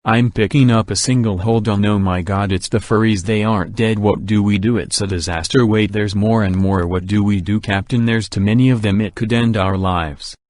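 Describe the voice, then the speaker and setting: A man's voice: lowish voice, fairly even intonation, normal speed, few pauses, a little mellow, no room sound, voice pushed up, close to an everyday voice. A synthetic male voice playing an alarmed military soldier reporting to his captain in an emergency broadcast drama. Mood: alarmed, despairing.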